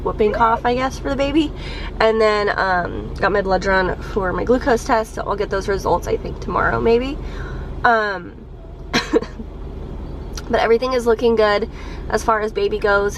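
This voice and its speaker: A woman's voice: mid-range voice, measured pace, slight background noise. A young woman vlogger with a cold and a stuffed-up nose, chatting casually to her camera from a parked car, with lots of ums and likes. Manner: chatty, many ums and likes, laughs a little. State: congested from a cold.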